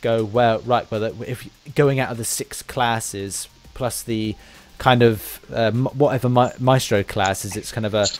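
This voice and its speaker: A man speaks as the host of an interview podcast. His voice sits at an average male pitch. He talks casually and informally, often halting as he puts a phrase together. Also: fairly even intonation, soft background music. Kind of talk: casual interview chat with sign-off.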